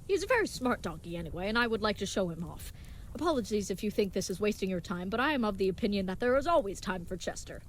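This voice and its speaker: Woman playing a fast-talking, earnest would-be knight. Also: mid-range voice, sing-song, a little hiss or hum, close to an everyday voice, poor audio. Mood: earnest, chatty. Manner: formal, contraction-free, chivalrous, grandly earnest speech. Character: earnest, brave, naive young leatherworker playing knight, cheerfully oblivious. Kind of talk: scripted audio drama acting.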